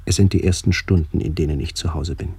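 An actor playing a brooding, melancholy middle-aged man who narrates a literary radio play in a measured, introspective way. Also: low-pitched, steady tone, gravelly, mellow, breathy edge, full character voice. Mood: contemplative. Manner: measured, introspective, poetic delivery.

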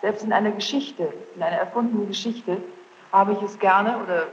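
A woman's voice: medium pitch, a little fast. A young woman giving testimony as a questioned witness, recorded in a large courtroom on old tape. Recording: echoey hall, dull noisy archive tape.

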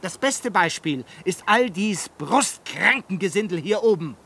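An actor in a German radio play playing a fiery, eloquent Italian humanist pedagogue who declaims with indignant rhetoric. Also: male voice, sits high, expressive, measured pace, vocal fry, sharp tone, slightly rough, full character voice. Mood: scornful.

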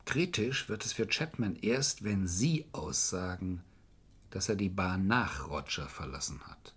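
An actor playing a shrewd, calculating but courteous man in a German crime radio play, speaking in a measured, persuasive way. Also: sits low, expressive, husky, full character voice. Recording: vintage radio play recording.

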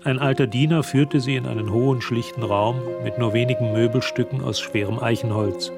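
Male narrator, mid-range, scripted literary storytelling for radio drama, clear music underneath. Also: mid-range voice, steady tone, a little fast.

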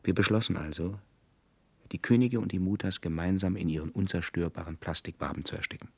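A man acting a disillusioned slave worker who narrates in a radio drama, gravelly and husky, grave and deliberate. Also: low-pitched, no echo, exaggerated voice. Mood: desperate, resolute. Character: disillusioned male slave worker narrating his awakening in science fiction.

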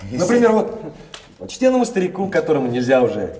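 An agreeable, smooth-talking gentleman in a stage comedy. He is courteous and ingratiating, his pitch rising and falling freely. The recording is roomy and off-mic, with faint noise. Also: huge pitch swings, fast, recorded from a distance. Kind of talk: theatrical stage comedy acting.

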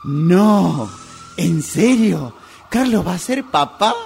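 A man's voice: high voice, lively intonation, slow, creaky, darkish tone, full character voice. A man acting a sociable guy phoning his old friends, in a radio drama. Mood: delighted. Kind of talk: radio drama acting, one-sided phone call. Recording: amateur workshop recording, sirens and traffic noise.

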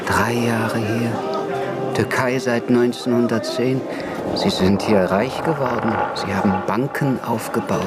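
Man playing a sardonic official over loud music. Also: medium pitch, steady tone, a little slow, lightly acted. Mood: sceptical, probing. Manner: probing, sardonic short phrases. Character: shrewd, sceptical man of authority sizing up a visitor. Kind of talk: radio drama dialogue. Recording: loud music bed behind voice.

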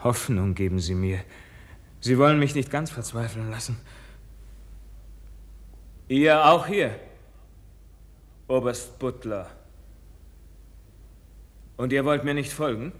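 A man's voice: medium pitch, close to an everyday voice. An actor playing a passionate, anguished young nobleman officer in a 1950s German radio verse drama, declaiming fervently. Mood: bitter, grieving. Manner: declamatory, fervent, drawn-out delivery. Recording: 1950s radio production with music.

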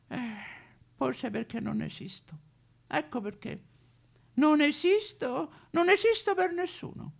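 A boozy, lonely vagrant from a satirical stage monologue, speaking with a Roman accent. The voice is slow, high and gravelly. He rambles haltingly between wistful musing and swearing. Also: full character voice. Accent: Roman Italian. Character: lonely toothless old male drunk vagrant, rambling and wistful. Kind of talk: theatrical comic monologue.

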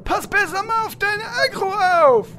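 A woman playing a feisty old warrior in a comedic fantasy audio drama, with an emphatic, drawn-out delivery. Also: extremely high pitch, sharp tone, a little hiss or hum. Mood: grumpy. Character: feisty old tank warrior devoted to pet goat.

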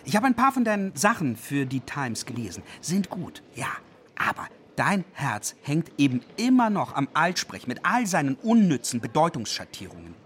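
A pedantic, wildly animated zealot. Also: male voice, on the high side, normal speed. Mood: condescending enthusiasm. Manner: pedantic, gloatingly enthusiastic. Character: zealous, pedantic dictionary compiler relishing destruction, male. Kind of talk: radio play dialogue acting. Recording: faint background noise.

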